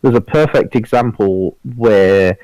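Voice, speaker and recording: A man's voice: on the low side, unhurried, darkish tone, no room sound, dull audio. Casual male podcast panelist, dry close sound. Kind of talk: casual group discussion.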